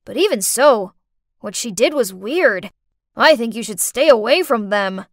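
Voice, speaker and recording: A laid-back young man giving casual advice in a bright, lively voice, as a woman reads him. The sound is close and dry, with no room around it. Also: on the high side, a little slow, no room sound. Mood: concerned. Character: easygoing young male friend on the phone.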